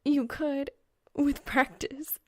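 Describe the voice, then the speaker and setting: A woman's voice: high voice, steady tone, slow pace, pitched above the performer's own voice. A woman voicing a bold young female gamer, blunt, amused and teasing, in an audiobook story.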